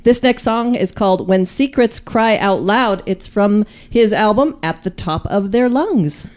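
A woman's voice: mid-range voice, lively intonation, unhurried, darkish tone. A woman co-presenting a music podcast in a casual, conversational style.

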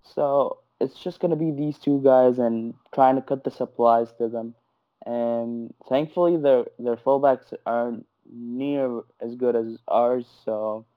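A man's voice: medium pitch, steady tone, a little slow. A man giving blunt, matter-of-fact opinions in a casual football podcast chat. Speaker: podcast panelist. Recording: tinny phone-like line.

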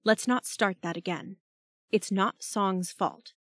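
A woman voicing a calm, firm female team leader in an audiobook, soothing and measured. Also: mid-range voice, no room sound, clean studio sound.

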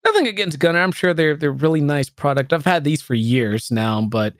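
A streamer in his thirties talks loosely and rambles, laughing easily and making fun of himself. His voice sits a little high for a man. The sound is dry and close. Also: expressive, a little fast, no echo. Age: 30s. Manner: laughing often, rambling, self-deprecating. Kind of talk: casual chat.

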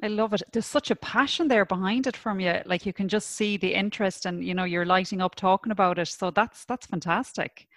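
A woman's voice: mid-range voice, brisk, few pauses, a bit bright, no room sound. Encouraging woman interviewer. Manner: encouraging, affirming, frequent praise. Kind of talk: interview host.

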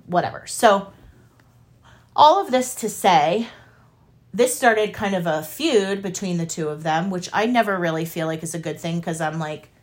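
A woman co-hosting a casual political commentary show. She talks conversationally at a middling pitch and leans on key words for emphasis. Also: moderate pace. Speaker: podcast co-host.

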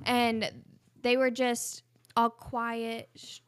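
Young female co-host, twenties, frank and chatty, slightly high voice. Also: completely flat delivery, extremely slow, slight background noise. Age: 20s. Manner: candid, laughing, chatty storytelling. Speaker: podcast co-host. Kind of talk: casual chat.

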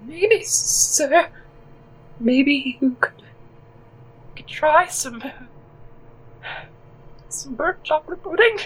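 A meek spider person speaks in a very high, faint, breathy voice. It stammers slowly and hesitantly through polite apologies, a glum little creature in a comic audio drama. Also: male voice, animated, a bit bright, exaggerated voice, rough recording.

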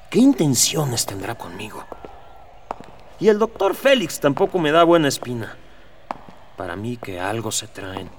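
A man's voice: medium pitch, a little hiss or hum. A man playing a streetwise, wary Mexican space adventurer in a radio drama, speaking in colloquial mutters.

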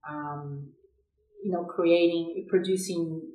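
A woman with a low voice and a Brazilian accent speaks as a guest in an interview. She talks candidly and haltingly, circling back over words to find her phrasing. The room around her sounds echoey. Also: fairly even intonation, mellow, faint music behind. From São Paulo, Brazil. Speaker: podcast guest, agribusiness professional. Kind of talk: candid conversational interview answers.

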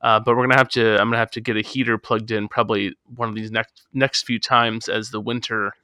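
A man's voice: on the low side, little pitch movement, brisk, pushed and bright, smooth, dry recording, studio quality. A man in his thirties on a casual podcast chat, rambling and self-deprecating, recording in his home garage. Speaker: podcast co-host.

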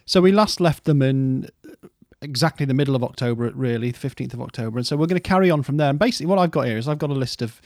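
A man's voice: medium pitch, animated, rapid-fire, darkish tone, dry recording, studio quality. A man co-hosting a casual music history podcast, rambling through stories in a playful way.

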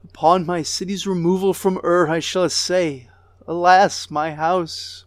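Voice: mid-range voice, fairly even intonation, a little slow, no echo, lightly acted. A reader voicing a grieving goddess queen of a ruined city, lamenting with a voice that breaks into sobs. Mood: mournful. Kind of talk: dramatic recitation of an ancient lament.

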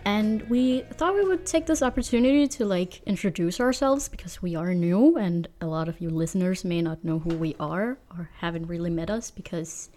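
A woman in her twenties from Denmark, co-hosting a student podcast in casual, low-key chat. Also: animated, normal speed, few pauses, warm tone, faint music behind. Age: 20s. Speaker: podcast co-host.

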